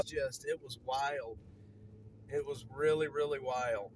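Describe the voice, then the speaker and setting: A man with a noticeable stutter talks casually to a livestream audience outdoors on a city street. He rambles, circles back over the same points and fills gaps with ums and uhs. His voice sits in a middle pitch for a man. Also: fairly even intonation, takes it slowly, slight background noise. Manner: rambling, repeats himself, many fillers.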